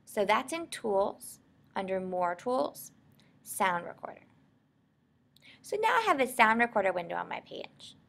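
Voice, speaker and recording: An American woman narrating a software tutorial in an informal, explanatory way. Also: medium pitch, gravelly. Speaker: software instructor.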